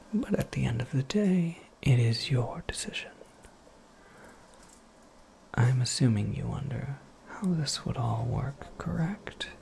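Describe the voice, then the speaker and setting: A performer voicing a cryptic, wry witch mentor in a breathy, unhurried ASMR whisper, with formal and dryly playful phrasing. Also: medium pitch, darkish tone. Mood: coaxing.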